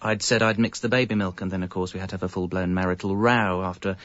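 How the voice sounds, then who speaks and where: A man's voice: sits low, fairly even intonation, fast, a bit bright, no echo, lo-fi sound. A British man acting as a weary, frustrated, put-upon father in a radio comedy, with wry, self-pitying delivery. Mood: glum, defeated. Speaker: narrator and lead actor. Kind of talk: radio comedy monologue and dialogue.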